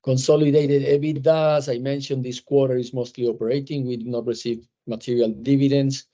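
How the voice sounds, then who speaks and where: A man walks listeners through quarterly figures on a conference call, pausing often and restarting phrases. His voice sits mellow and dark. The audio is muffled and lo-fi. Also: medium pitch, little pitch movement. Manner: measured, hesitant with frequent fillers and restarts. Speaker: company executive presenting results. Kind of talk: earnings call presentation.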